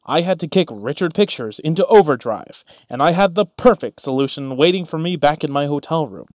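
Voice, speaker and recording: A man's voice: fairly high pitch, animated, a little fast, no room sound, clean studio sound. A man narrating in a brooding, overwrought mock-dramatic voice-over.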